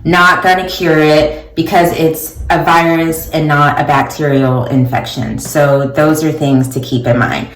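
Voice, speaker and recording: A middle-aged woman, a social epidemiologist, explaining in a measured way as she narrates and vlogs. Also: deep voice, rough recording. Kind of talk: personal vlog and voice-over narration.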